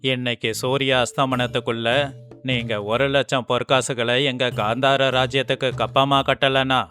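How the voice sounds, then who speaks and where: A man playing an arrogant envoy from an enemy kingdom in an animated moral story, declaiming threats. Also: medium pitch, moderate pace, faint music behind, lightly acted.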